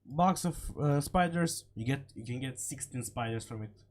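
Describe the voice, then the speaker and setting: A man giving casual, unscripted game commentary, halting and repeating short phrases, his voice slightly hoarse from a cold. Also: medium pitch. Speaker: gaming video creator.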